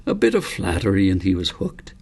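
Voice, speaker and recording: A man reading the part of a wise old grandfather in a storybook, calm and patient, explaining things in a measured, philosophical way. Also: low voice. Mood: firm, wise.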